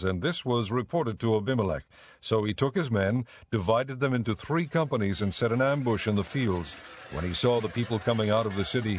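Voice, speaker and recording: A man narrating a dramatized audio Bible in a measured, clearly enunciated storytelling style. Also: fairly low pitch, a little hiss or hum.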